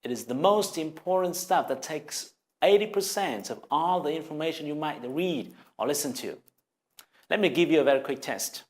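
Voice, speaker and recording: A man's voice: on the high side, animated, moderate pace. A man with a Vietnamese accent, a native Vietnamese speaker, giving an earnest, encouraging English lesson straight to the viewer. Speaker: language teacher. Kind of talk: scripted video lesson.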